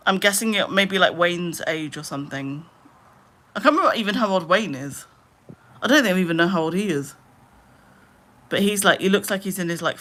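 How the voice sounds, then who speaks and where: A woman in her late twenties chatting casually on a live stream, rambling with lots of "like" and trailing into low mutters. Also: pushed and bright. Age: 20s. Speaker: livestreamer.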